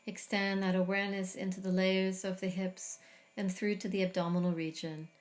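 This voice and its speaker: A middle-aged woman guiding a body scan meditation, speaking slowly and soothingly with long pauses. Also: mid-range voice, little pitch movement. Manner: slow, soft, soothing guidance with long pauses. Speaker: meditation teacher.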